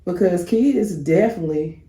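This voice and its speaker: A woman's voice: on the low side, lively intonation, normal speed, dark tone, echoey room. A woman with a congested voice and a slight cough, co-hosting a casual relationship talk show and chatting straight to her listeners. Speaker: podcast co-host.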